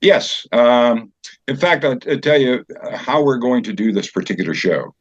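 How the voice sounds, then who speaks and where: An older man being interviewed as a guest over a remote call, explaining things in a measured, halting way. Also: medium pitch, lively intonation, dry recording. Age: older, 70s or so. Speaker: guest from a community theater group. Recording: dull, band-limited call audio.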